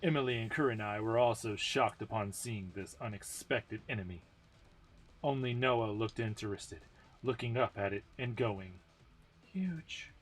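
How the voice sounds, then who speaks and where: A man's voice: on the low side, normal speed, soft background music, rough recording. A man narrating a game story as an amateur dramatic reading, with informal self-deprecating asides.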